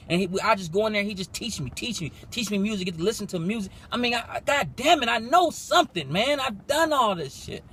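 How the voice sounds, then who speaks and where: Fast-talking, high-pitched young man. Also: slightly rough. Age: 20s. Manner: animated, profane, rapid slangy talk. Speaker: music producer and coach. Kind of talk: casual motivational pitch. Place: in a car.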